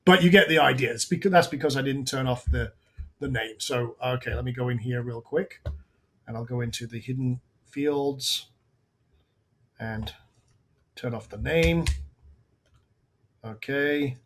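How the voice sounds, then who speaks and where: A man hosting a live software webinar, talking casually through on-screen steps with frequent restarts while his voice goes hoarse. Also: mid-range voice, unhurried, long pauses, slight background noise. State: voice going hoarse. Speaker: webinar host.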